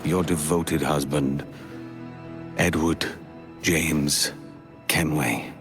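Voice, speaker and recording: An earnest pirate captain in a cinematic game. His voice is very low, tender and homesick, with music playing behind him. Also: fairly even intonation, very slow, exaggerated voice. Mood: tender, hopeful. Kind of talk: dramatic game acting, letter narration.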